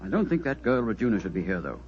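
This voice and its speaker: A man's voice: on the low side, big pitch swings, quick pace. A man playing a stuffy, suspicious knight, pompous and huffy, in a 1940s radio drama. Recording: dull, lo-fi 1940s radio recording.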